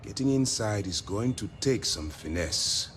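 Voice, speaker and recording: A composed military commander from a space game. His voice sits fairly low and moves expressively as he gives a formal, deliberate briefing. There is faint ambient noise behind him. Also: expressive. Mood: formal, purposeful. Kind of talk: video game character dialogue.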